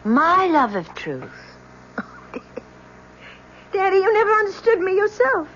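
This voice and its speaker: A woman's voice: very high-pitched, very animated, takes it slowly, a bit bright, full character voice. An actress playing a bitter, anguished young woman, a professor's daughter, with melodramatic delivery in an old-time radio drama. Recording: muffled, noisy old radio recording.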